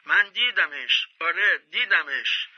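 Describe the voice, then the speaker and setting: A ragged old homeless man in a radio drama, high and husky. He rants and raves with frantic insistence, frail but loud, on a slightly dull recording. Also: very animated. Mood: frantic, insistent. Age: older. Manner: shouting, ranting, emphatic.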